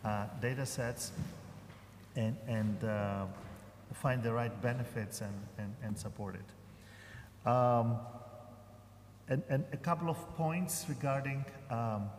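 Hesitant professor in an echoey hall. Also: male voice, medium pitch, takes it slowly, long pauses. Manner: hesitant, many fillers and restarts. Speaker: panelist, professor. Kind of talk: conference panel remarks. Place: large conference hall. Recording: echoey hall, faint room noise.

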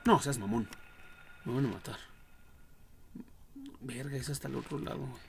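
A young man with a Mexican accent giving casual, slangy, foul-mouthed commentary on a horror game livestream, reacting out loud as he plays. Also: mid-range voice, normal speed, creaky. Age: young adult. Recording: noisy home livestream with clicks and typing.

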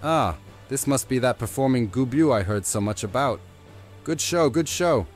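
A man's voice: mid-range voice, lively intonation, normal speed, soft background music, natural voice. A man playing a curious, approving festival spectator in a fantasy game. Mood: surprised, approving.

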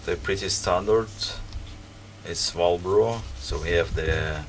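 Low slow gravelly guy, fixing stuff on a bench, lots of ums, mic kinda far off with background noise. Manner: hesitant, many ums and restarts while working. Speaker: repair video host. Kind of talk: casual hands-on repair commentary. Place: workbench, indoors.

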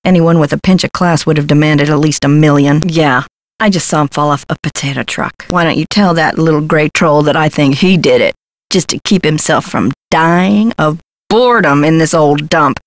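A woman's voice: low voice, moderate pace, no room sound. A woman voicing a brash, scheming Hollywood talent agent in a video game, glib and condescending, with slangy talk. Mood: dismissive, irritated.

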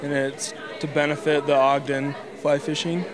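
A young man from Utah with a slightly high voice gives short, shy replies. He talks into a held mic in a crowded theater lobby. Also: flat delivery, moderate pace, a crowd in the background. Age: young adult. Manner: brief, shy answers. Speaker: interviewee, student.

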